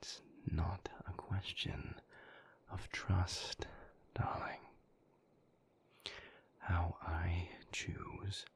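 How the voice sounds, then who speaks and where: A man playing a moody, suave vampire boyfriend in an intimate audio roleplay, speaking in a breathy near-whisper with languid, formal phrasing. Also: deep voice, exaggerated voice.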